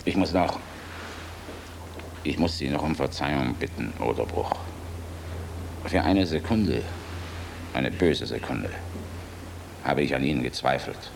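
An actor playing a weary, resolute air force general in a German radio drama, grave and clipped. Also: male voice, rumbling low, lively intonation, a little slow, creaky, long pauses, noisy background, full character voice. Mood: calm, resigned.

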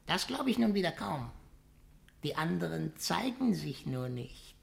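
A tiny, stunted young circus performer, uncanny and knowing. The voice is low and creaky and moves slowly, with blunt, bitter candour in old German radio drama style. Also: female voice, sing-song, exaggerated voice. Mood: dry, mocking. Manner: blunt, knowing, darkly matter-of-fact. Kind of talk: 1950s German radio play acting.

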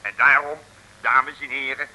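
Sharp, gushing Dutch chip seller. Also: male voice, medium pitch, steady tone, a little slow, low-quality recording. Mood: forced cheer. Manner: chattering, ingratiating, muttering asides. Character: gushing, forlornly cheerful male chip-stall owner. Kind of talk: 1960s comic radio serial acting. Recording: old radio recording with faint noise.

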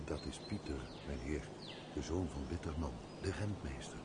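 Very deep-voiced loyal manservant. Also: male voice, moderate pace, darkish tone, a little hiss or hum. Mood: deferential. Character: loyal, frank, compassionate manservant to a gentleman. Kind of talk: radio play acting.

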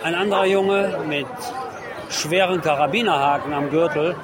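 High-pitched man reporting amid a noisy crowd. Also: normal speed. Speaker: radio host reporting from the street. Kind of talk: spontaneous live on-site commentary. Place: outdoors in a busy city crowd. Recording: noisy urban crowd outdoors. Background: crowd, street ambience, vehicles.

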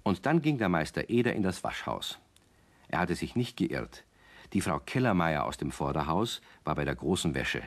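A man's voice: low-pitched, big pitch swings, moderate pace. A man narrating a scripted children's story as the storyteller of a radio play.

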